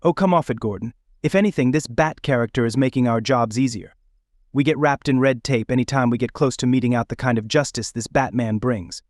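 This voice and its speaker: A man's voice: mid-range voice, brisk, a little mellow, no echo, lightly acted. Man playing a cynical cop, dry sound. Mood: scoffing. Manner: scoffing, persuasive. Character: cynical, dismissive male cop favouring rough justice. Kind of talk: motion comic dub acting.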